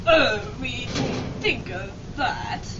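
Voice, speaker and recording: A woman's voice: on the high side, animated, slow. A woman playing an exasperated, bossy pirate leader in an amateur comic radio drama, with theatrical delivery. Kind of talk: amateur satirical old-time radio drama acting. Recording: muffled, very noisy, distant room mic.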